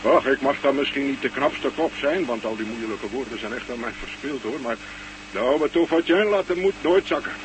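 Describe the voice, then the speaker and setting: An actor playing an easygoing, plain-spoken Russian space station commander in a Dutch radio play. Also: male voice, mid-range voice, expressive, normal speed, close to an everyday voice. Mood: folksy, stubborn. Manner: colloquial, musing, folksy. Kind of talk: Dutch science fiction radio play acting. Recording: noisy old radio recording with hum.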